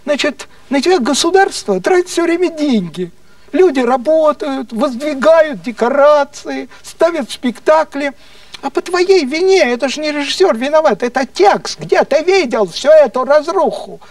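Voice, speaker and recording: Мужчина изображает слегка пьяного советского партийного начальника, который покровительственно и насмешливо угрожает, повторяясь и переспрашивая. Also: male voice, very high-pitched, expressive, moderate pace, dry recording, recorded from a distance, pitched above the performer's own voice. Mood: mocking reproach. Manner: patronizing, repetitive, insinuating questions. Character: menacing, mocking Soviet party official, slightly drunk.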